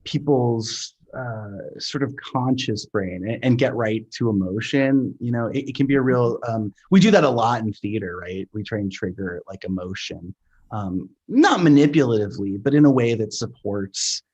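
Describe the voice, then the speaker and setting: Male sound designer in casual conversation, slightly rough mid-pitched voice, halting and reflective. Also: expressive, a little mellow, muffled recording. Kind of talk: casual explanatory talk.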